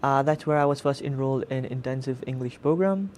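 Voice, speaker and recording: A man's voice: medium pitch, fairly quick, a little mellow, a little hiss or hum. A man in his twenties from Tanzania, answering conversationally as a student guest in an interview. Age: 20s. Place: student television studio.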